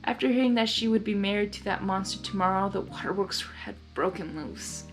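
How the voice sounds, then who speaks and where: A woman reading fan fiction aloud with expressive narration and enthusiastic, chatty asides. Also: mid-range voice, fairly quick, soft background music. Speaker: fanfiction reader.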